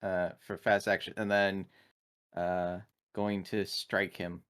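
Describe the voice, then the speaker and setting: A man's voice: sits low, flat delivery, slow pace, a bit bright, clean tone. A man talking casually as a player in a tabletop roleplaying game, describing his moves.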